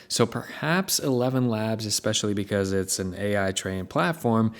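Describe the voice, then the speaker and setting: A man's voice: on the low side, animated, normal speed. Casual male tutorial host. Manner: conversational, explains step by step. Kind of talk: casual screen-share software tutorial.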